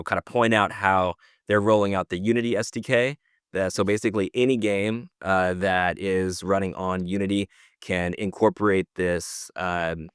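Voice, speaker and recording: A man's voice: deep voice, smooth, dry recording, clean studio sound. A man co-hosting a podcast, talking through news casually in an enthusiastic, explanatory way. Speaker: podcast co-host presenting news.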